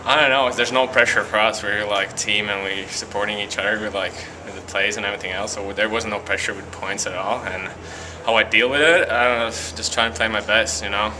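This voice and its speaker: A young man from Latvia with a Latvian accent, a college hockey player giving a halting post-game interview full of fillers and restarts in an arena hallway. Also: fairly low pitch, steady tone, noisy background. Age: young adult.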